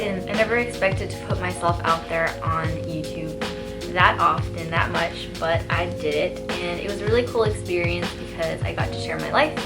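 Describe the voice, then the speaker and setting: A young woman with an upbeat, chatty and earnest manner, looking back on her year in a vlog. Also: on the low side. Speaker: vlogger. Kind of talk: reflective year-in-review vlog narration. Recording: narration over loud music.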